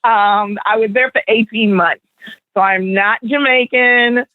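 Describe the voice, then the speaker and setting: Middle-aged woman from Barbados, relaxed storyteller, heard over a narrow phone-like line. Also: a little high, a little slow, a little hiss or hum. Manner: relaxed, laughing, storytelling with fillers. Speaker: guest, spoken word event organizer. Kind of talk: radio interview answers.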